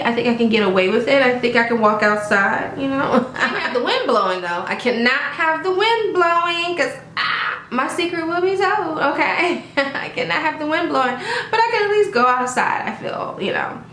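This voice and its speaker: A chatty, upbeat woman with a slightly high voice talks to her viewers in a casual home review. The room around her is roomy and echoey, with a faint hiss underneath. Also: expressive, moderate pace, a bit bright. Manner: chatty and upbeat, often addressing viewers as "you guys" and asking "okay?". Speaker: beauty vlogger reviewing a wig. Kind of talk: casual product review vlog. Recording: roomy echo.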